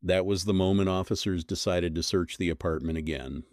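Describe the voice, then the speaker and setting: A man with a low, deep voice narrates a scripted horror mystery. He builds suspense with measured, short dramatic phrases. The sound is dry and close, with hardly any room on it. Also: darkish tone, no echo.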